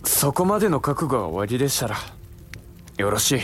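The sensible younger brother of a samurai lord in a period radio play. His mid-pitched voice moves widely up and down as he counsels in polite, formal speech. Music sits behind him. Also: big pitch swings, a little fast, fairly bright tone. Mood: resigned acceptance. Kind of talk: Japanese period audio drama acting.

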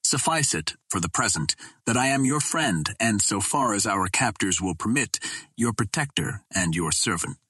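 Husky, gallant Earthman captain voiced by a male reader. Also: lowish voice, animated, normal speed, sharp tone, dry recording, studio quality, full character voice. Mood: earnest. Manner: formal, courtly, firm. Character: gallant, proud Earthman captain, adventure hero.

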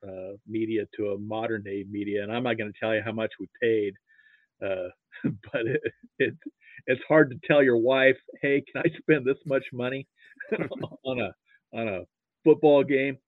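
Male American podcast guest, mid-pitched, anecdotal and halting, heard over a thin phone-quality line. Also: steady tone, no echo. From Nebraska, US. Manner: halting, repeats words as he starts sentences. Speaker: podcast guest, sports archivist. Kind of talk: casual anecdotal interview talk. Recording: muffled, phone-like narrow-band line.

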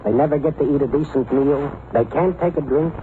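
An actor playing a cynical, wisecracking racetrack bookmaker in an old radio drama, with dry delivery and a thin vintage sound. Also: male voice, fairly high pitch, brisk, lightly acted. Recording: narrowband old radio recording, faint hiss.

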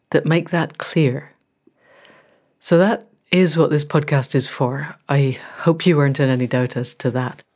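A woman hosting a podcast, speaking in a measured, earnest and articulate way, as in an introduction or an interview. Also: low-pitched, darkish tone. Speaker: podcast host. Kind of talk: scripted podcast introduction, then conversational interviewing.

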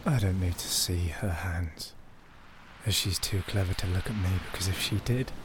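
A man's voice: low-pitched, normal speed, noisy background, lightly acted. A man playing a clever, proud, bitter disgraced young lord in a fantasy audio drama, with theatrical intensity. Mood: bitter, brooding. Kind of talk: high fantasy audio drama acting and narration.

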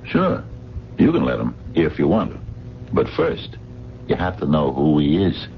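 A streetwise working-class man, doggedly reasoning things out in slangy, emphatic bursts. His deep voice swings widely, heard through a dull, hissy 1970s radio mystery broadcast. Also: wildly swooping pitch, unhurried, gravelly, full character voice. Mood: resolute. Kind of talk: radio mystery drama acting.

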